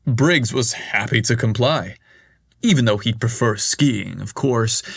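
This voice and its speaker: A man reading a scripted story aloud, telling it slowly and evenly. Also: medium pitch, dry recording, studio quality. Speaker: narrator.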